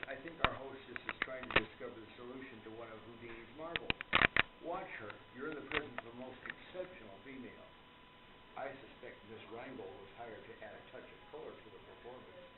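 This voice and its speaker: An actor playing a courteous, earnest gentleman author devoted to spiritualism, speaking formally in a radio play. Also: medium pitch, fairly quick, close to an everyday voice. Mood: admiring, confiding. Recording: muffled phone-like sound, loud noise, echoey room.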